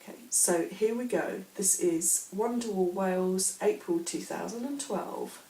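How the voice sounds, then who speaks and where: A woman's voice: medium pitch, measured pace, mellow, distant mic. A woman hosting a casual craft podcast while she has a bad cold, sounding sniffly and hesitant, with plenty of ums and restarts. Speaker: podcast host. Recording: roomy echo, speaking away from the mic.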